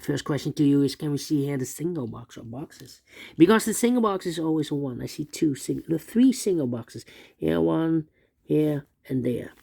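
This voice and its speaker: Male host for a step-by-step puzzle lesson; relaxed, gravelly tone, mid pitch, halting speech with repeated phrases. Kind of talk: step-by-step spoken tutorial, unscripted.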